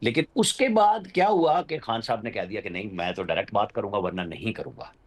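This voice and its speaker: A man's voice: lowish voice, expressive, brisk. A man with a Pakistani accent giving unscripted political commentary as a journalist, explaining insistently and at winding length. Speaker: journalist, political analyst guest.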